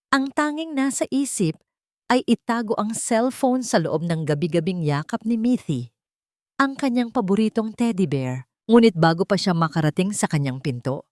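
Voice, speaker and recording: A woman's voice: medium pitch, darkish tone, dry recording, clean studio sound. A woman narrating a scripted audio drama as its storyteller.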